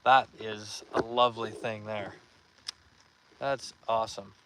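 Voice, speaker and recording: A young man hosting a live fishing stream outdoors, reading viewer comments aloud and giving upbeat shout-outs in a relaxed voice. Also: on the low side, takes it slowly, background noise. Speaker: fishing livestream host.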